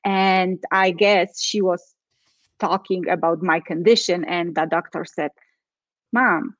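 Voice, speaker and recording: A woman's voice: fairly low pitch, steady tone, slow pace, no echo, dull audio. A woman from Poland with a Polish accent, a coach who tells her own story earnestly and with feeling in a podcast chat. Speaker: guest, change and transition coach.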